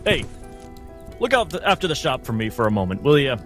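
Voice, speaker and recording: A man's voice: mid-range voice, sing-song, brisk, over music, voice pushed up, close to an everyday voice. Man playing a burly, kindly baker father. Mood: easygoing, reassuring.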